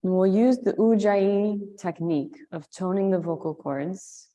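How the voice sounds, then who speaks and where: A woman leading a guided meditation, giving slow, soothing instructions with long pauses. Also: mid-range voice, mellow. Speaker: meditation and yoga teacher.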